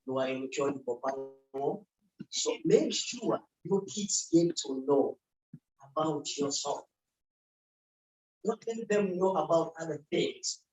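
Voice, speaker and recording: A man's voice: fairly high pitch, darkish tone. A middle-aged Ugandan man, speaking earnestly in short phrases as a community speaker at a public event. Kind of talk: unscripted public talk. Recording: roomy sound picked up from far off.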